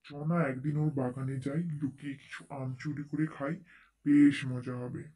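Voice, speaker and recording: A man's voice: mid-range voice, flat delivery, moderate pace, dark tone, lightly acted, low-quality recording. A man playing a sly, carefree bird in a children's cartoon, talking in a coaxing, breezy way. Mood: scheming, eager. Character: sly, carefree male bird plotting mischief. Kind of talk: children's cartoon story dialogue.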